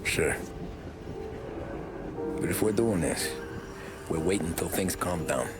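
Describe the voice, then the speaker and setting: An actor playing a level-headed, cautious American mobster in a video game cinematic, speaking in a dry, restrained way. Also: male voice, low voice, slow pace, over music.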